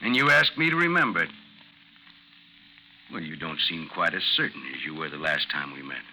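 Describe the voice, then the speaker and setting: An actor in a 1950s radio drama playing a vengeful gentleman duellist, coolly mocking and formal in speech. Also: very deep voice, animated, normal speed, full character voice. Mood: cool menace. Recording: noisy 1950s radio recording, slight hiss.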